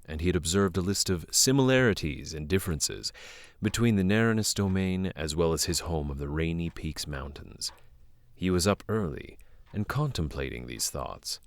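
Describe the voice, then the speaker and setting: A man's voice: low-pitched, no echo. A man narrating a fantasy audio drama as a solemn storyteller, reading scripted prose.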